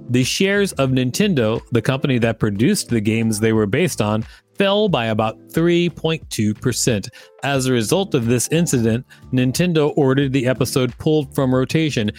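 Male podcast co-host, measured reader, mid-pitched, faint music. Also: a little mellow. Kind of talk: reading aloud with casual commentary.

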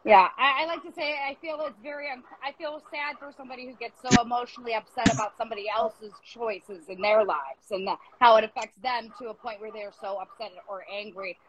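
High-voiced, rambling woman hosting a chat. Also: a little fast, few pauses, fairly bright tone, slight background noise, poor audio. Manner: rambling, reflective, self-deprecating. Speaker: host of an arts interview show. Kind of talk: casual interview chat.

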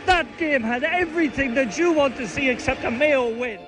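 Anguished Irish fan shouting at a match. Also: male voice, very high-pitched, normal speed, over music. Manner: anguished, pleading, excitable.

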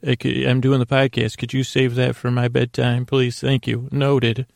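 A man speaks in a heavily creaky, fry-laden voice at a middle pitch, close and dry on the mic. He hosts a sleep podcast, wandering through his monologue with halting starts and self-interruptions. His sentences often trail into side tangents. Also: completely flat delivery, darkish tone, dry recording. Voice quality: very creaky, gravelly. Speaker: podcast host.

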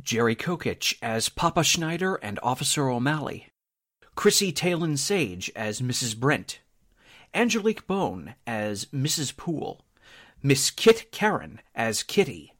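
Male radio announcer with animated pitch. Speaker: announcer of an audio drama podcast. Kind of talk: scripted announcing, radio credits.

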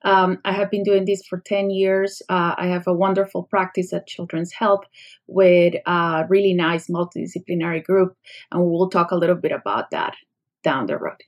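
A woman's voice: on the low side, normal speed, highs cut off. A middle-aged woman from Colombia with a Colombian Spanish accent, a doctor explaining a medical condition in a podcast interview, patient and full of analogies. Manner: explanatory, uses analogies, some fillers. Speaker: pediatric neurologist guest.

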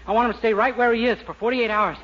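A man's voice: extremely high pitch, steady tone, quick pace. An actor playing a naive, cocky young man who bumbles along earnestly in 1940s radio sitcom style. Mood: mock authority. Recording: muffled, very noisy 1940s radio recording.

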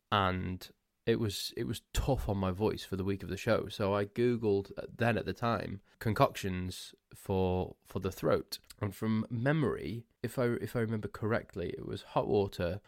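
Congested man with a low voice. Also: normal speed, gravelly, no room sound, clean studio sound. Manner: rambling, self-mocking, laughs often. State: congested, losing his voice. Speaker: podcast host. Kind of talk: solo podcast chat.

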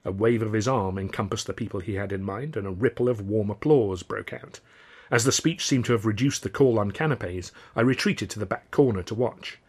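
A man's voice: lowish voice, fairly quick, breathy edge. A man reading his own novel as a wry first-person audiobook narration.

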